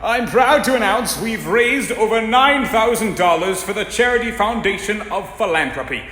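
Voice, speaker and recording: A man's voice: sits high, lively intonation, normal speed. A man playing a pompous, ceremonious charity gala announcer in a radio play. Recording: echoey hall, faint noise.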